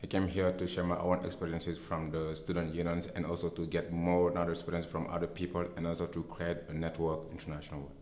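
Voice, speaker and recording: Senegalese man, quick monotone delivery, interview, echoey noisy room. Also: sits low. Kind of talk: speaking to camera in interview. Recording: echoey room with clear noise.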